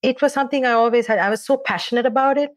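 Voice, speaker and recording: A woman from Sri Lanka, a baker and cafe owner, answering interview questions in a passionate, halting way. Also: fairly high pitch, no echo. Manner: warm, passionate, halting with fillers.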